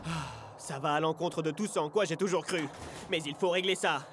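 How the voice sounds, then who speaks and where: A cool, slangy cartoon athlete, played by a man, speaks in a high, expressive voice. Music plays behind his lines. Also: brisk, lightly acted. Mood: resolved. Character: laid-back, musical, slangy male footballer. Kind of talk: animated cartoon dub.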